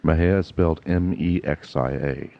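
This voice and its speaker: A man's voice: rumbling low, normal speed, creaky. A man giving a scripted radio announcement, reading out details. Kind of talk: scripted radio address read-out. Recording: dull lo-fi radio sound.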